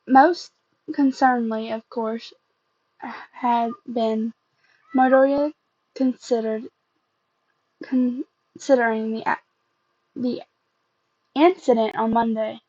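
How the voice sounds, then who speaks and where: A woman reading fan fiction aloud as an amateur, in a halting way, often stumbling and repeating phrases. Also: a little high, steady tone, darkish tone, no room sound, dull audio.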